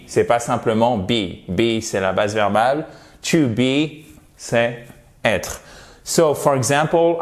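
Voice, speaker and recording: Male English teacher, native French, slow and patient, repeats words to model pronunciation. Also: mid-range voice. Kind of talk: video lesson.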